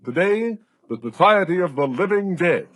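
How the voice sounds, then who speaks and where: Casting: male vintage radio announcer, fairly high voice, emphatic and very animated, music faintly behind. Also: normal speed, no echo. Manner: emphatic, theatrical. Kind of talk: dramatic 1930s sponsor announcement.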